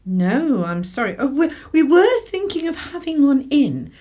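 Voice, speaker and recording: An actress performs a guarded, easily flustered mother in a radio play. She fusses and apologises. Her voice is fairly high and very animated in pitch. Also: a little slow. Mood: guarded, apologetic. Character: flustered, guarded British working mother of young boy. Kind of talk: radio drama acting.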